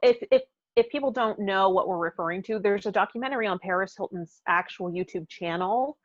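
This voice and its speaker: Female podcast co-host, casual and thoughtful, halting phrasing, mid-range voice, dry sound with little room. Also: medium pitch, no echo, muffled recording. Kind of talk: casual reflective conversation.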